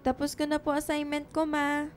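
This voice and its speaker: A woman's voice: sits high, monotone, moderate pace, lightly acted. A Filipino woman playing a polite, curious young schoolgirl in a radio drama. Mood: eager. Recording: music behind the dialogue.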